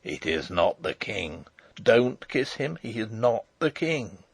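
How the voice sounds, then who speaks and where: A gruff old soldier of a storybook as a man reads him, pitched low and slightly hoarse. He is loyal and wary, giving short, urgent commands in a hushed tone, recorded dry. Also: big pitch swings, measured pace, dry recording, exaggerated voice. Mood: grim, urgent. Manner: terse, commanding. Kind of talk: audiobook character voice.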